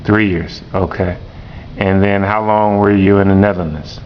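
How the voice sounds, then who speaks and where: Teacher guy asking a student questions, kinda low voice, repeats answers, muffled recording with a faint rumble. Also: steady tone, moderate pace. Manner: patient, repeats the answers back. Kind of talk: classroom interview.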